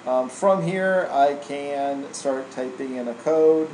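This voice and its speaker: A man walking through software in an informal, casual tutorial style, like a trainer showing someone the steps. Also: a little high, takes it slowly. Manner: casual, informal, tags statements with "right?". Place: indoor room, screen recording voice-over. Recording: roomy echo, faint air-conditioning hum.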